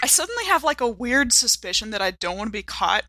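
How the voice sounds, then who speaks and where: A woman playing a tabletop game, chatting casually and jokingly in an expressive, wry manner. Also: a little high, fast talker, heavy background noise.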